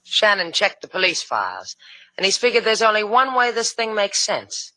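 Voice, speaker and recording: A shrewd, cool woman from an old radio crime drama. Her bright voice rises and falls widely, coaxing and pointed, recorded dry. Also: mid-range voice, big pitch swings, normal speed, no echo, poor audio. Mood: pointed, accusing.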